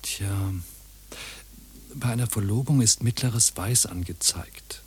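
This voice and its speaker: An actress playing a reserved, courteous, quietly flirtatious madame in a radio play, husky and soft-spoken. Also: female voice, very deep voice, takes it slowly, exaggerated voice. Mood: dry, courteous. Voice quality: husky, breathy.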